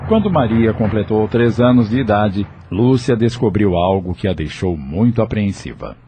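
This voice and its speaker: A man's voice: on the low side, animated, moderate pace. A man with a Brazilian accent narrating a dramatic audio novel from a script. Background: soft music.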